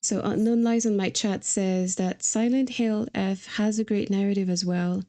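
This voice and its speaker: A woman's voice: mid-range voice, fairly even intonation, moderate pace, warm tone. A woman streamer chatting casually about video games in a conversational, easygoing way. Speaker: streamer host.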